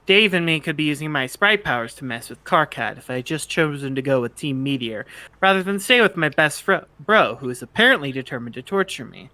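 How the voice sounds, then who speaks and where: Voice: mid-range voice, expressive, fairly quick, pushed and bright, dry recording. A performer voicing a flustered, defensive teenage boy bird sprite, stammering and exasperated in an amateur fan fiction read-aloud.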